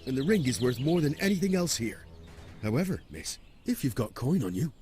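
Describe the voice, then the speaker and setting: A man's voice: medium pitch, big pitch swings, a little fast, a little mellow, natural voice. A man playing a pragmatic bandit leader in a video game, firm, authoritative and weary of squabbling. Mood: measured, firm. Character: gruffly commanding, pragmatic male bandit leader. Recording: music behind.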